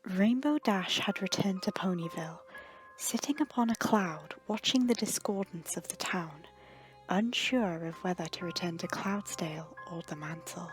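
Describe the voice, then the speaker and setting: A woman narrator reads a scripted story. Her voice sits a little low, and music plays clearly behind her. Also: moderate pace, low-quality recording.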